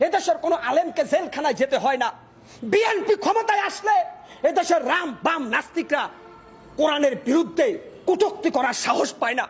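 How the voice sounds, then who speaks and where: A Bangladeshi man delivering a fiery political speech in an impassioned, declamatory manner, often shouting and using repetitive rhetorical phrasing. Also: very high voice, lively intonation, fairly quick. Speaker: political speaker, self-described hawker.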